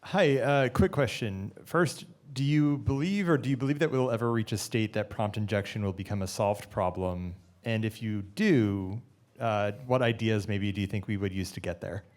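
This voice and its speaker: A man in the audience asking a quick, direct question. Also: fairly low pitch, a little mellow. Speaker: audience member.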